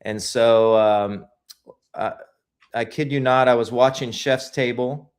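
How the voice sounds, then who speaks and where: Mid-pitched man telling a story. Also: fairly even intonation, takes it slowly. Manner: storytelling, rambling with restarts and "like". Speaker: guest filmmaker. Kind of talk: casual interview chat.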